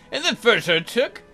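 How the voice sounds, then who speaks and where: A man's voice: sits high, bright, forceful tone. A man hosting a let's play, reading visual novel text aloud and adding sarcastic, incredulous comic commentary.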